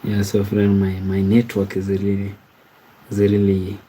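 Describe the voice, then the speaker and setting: A man from Kenya chats casually on a livestream. His voice sits low and warm, and it barely rises or falls. He reads viewer comments aloud and stumbles over his words as he answers them. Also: completely flat delivery, a little hiss or hum, low-quality recording. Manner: slow, halting, repeats words and restarts while reading comments aloud. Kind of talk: casual live-stream chat.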